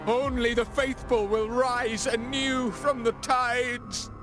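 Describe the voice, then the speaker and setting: An actor playing a menacing, devout cultist villain in a video game, speaking in an ominous and grandiose way. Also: male voice, very high voice, little pitch movement, takes it slowly. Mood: manic, exultant. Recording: game music behind the voice.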